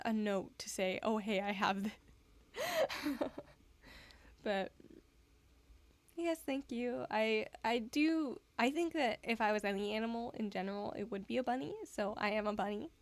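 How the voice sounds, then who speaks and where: A woman's voice: fairly high pitch, a little slow, long pauses, dry recording. A woman live streamer chatting casually with her viewers, giggly and rambling. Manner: giggly, rambling, soft-spoken.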